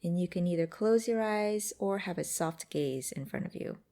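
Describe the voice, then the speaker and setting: Woman, meditation guide, mellow tone, gravelly vocal fry, gentle unhurried phrasing. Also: fairly low pitch, lively intonation. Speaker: mindfulness exercise guide.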